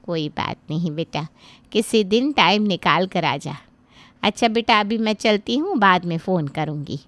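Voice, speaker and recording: A woman's voice: fairly low pitch, expressive, a little slow, a bit bright, no room sound. A woman voicing a doting middle-aged mother who fondly coaxes her daughter over the phone. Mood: affectionate. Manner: affectionate, coaxing.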